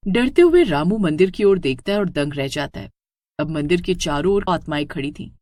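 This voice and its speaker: A woman tells a scripted horror tale as its narrator. Her voice sits a little low and swings widely in pitch, with dramatic suspense. The sound is dry and close. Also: lively intonation, moderate pace, darkish tone, no echo.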